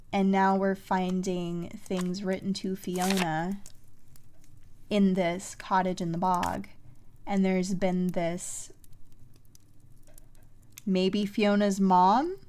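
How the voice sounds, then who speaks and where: A woman giving casual game commentary on a livestream, halting as she thinks aloud. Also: medium pitch, darkish tone. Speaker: game streamer.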